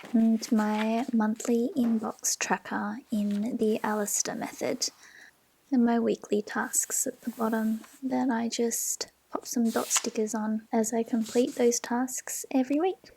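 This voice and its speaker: An Australian woman talking through her planner pages in a gentle, often half-whispered way. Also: fairly high pitch, fairly even intonation, takes it slowly, gravelly, slight background noise, poor audio. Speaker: planner vlogger. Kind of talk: soft-spoken planner flip-through. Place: home desk.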